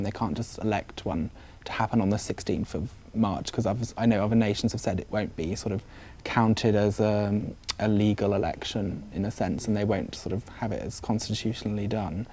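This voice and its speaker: A man's voice: on the low side, fairly even intonation, brisk, mellow. A young man giving a hedging interview answer, with frequent fillers. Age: young adult.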